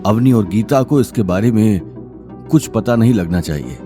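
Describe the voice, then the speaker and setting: A man's voice: fairly low pitch, animated, normal speed, music in the background, lightly acted. A man voicing a cautious, worried father giving a stern warning in an audio drama.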